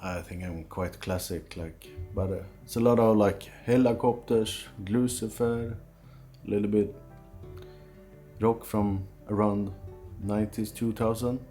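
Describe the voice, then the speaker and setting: A man answering questions in a casual chat, giving short, quiet replies with some hesitation. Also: on the low side, fairly even intonation, unhurried, soft background music. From Sweden. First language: Swedish.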